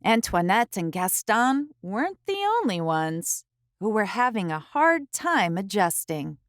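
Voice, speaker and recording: A woman host reading a picture book aloud to young children, expressively, with careful phrasing and dramatic pauses. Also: mid-range voice, big pitch swings, no echo, clean studio sound.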